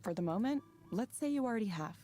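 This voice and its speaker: A woman voicing a young counselor in a visual novel game, careful and gentle with therapeutic phrasing. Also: on the high side, expressive, quick pace, faint music behind, natural voice. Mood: measured, probing.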